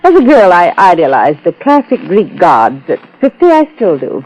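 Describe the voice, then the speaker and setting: An actress playing a wealthy, coy socialite of about fifty in an old-time radio detective drama, languid and evasive. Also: female voice, fairly low pitch, huge pitch swings, a little mellow, rough recording. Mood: languid, dismissive. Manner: languid, coy, drawn-out delivery.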